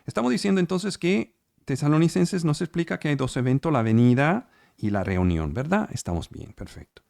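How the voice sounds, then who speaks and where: A man preaches in Spanish, which is not his first language, leading a weekly Bible study over a video call. His voice has a gravelly edge and rises and falls in lively sweeps. He stresses points by repeating words and keeps checking that his listeners follow, all in a dry, close sound. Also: a little high, a little fast, dry recording. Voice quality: speaks Spanish as a second language. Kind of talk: online sermon and Bible study. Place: home, online service.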